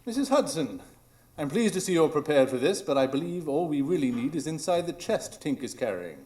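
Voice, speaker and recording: Man acting an animated, inquisitive detective. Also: on the high side, a little fast. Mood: resolute. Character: Sherlock Holmes, inquisitive, reasoning, determined detective. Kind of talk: live radio drama acting. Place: improv theatre stage, live audience.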